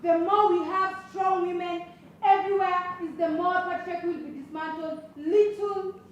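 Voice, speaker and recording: Stage idealist: an actress as a principled young woman, extremely high voice, muffled and distant. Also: steady tone, moderate pace, heavy reverb, slight background noise. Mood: earnest, encouraging. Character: principled young woman championing women in leadership. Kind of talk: stage play acting. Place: stage in an echoey hall, far microphone.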